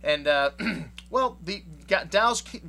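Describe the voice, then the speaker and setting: A man's voice: high-pitched, expressive, takes it slowly, sharp tone. Chatty man with a faint hum. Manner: chatty, rambling with many fillers. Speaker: wine shop owner reviewing wines. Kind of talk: casual tasting notes to camera.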